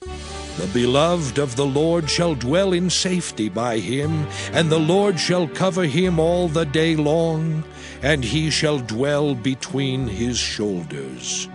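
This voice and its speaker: Man acting slow, solemn prophet Moses. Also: fairly high pitch, lively intonation. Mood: reverent blessing. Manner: earnest, declamatory, deliberate. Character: Moses, solemn elder prophet blessing his people. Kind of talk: dramatized scripture reading. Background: faint background music.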